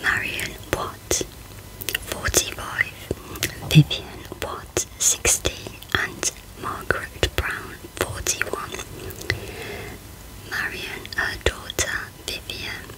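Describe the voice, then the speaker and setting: Slow whispering woman, ASMR narrator. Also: poor audio. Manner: hushed, unvoiced whispering. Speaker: host of a true crime channel.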